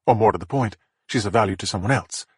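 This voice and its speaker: A man reading a calm female investigator. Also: on the low side, fast, breathy edge, dry recording, studio quality. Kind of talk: audiobook character voice.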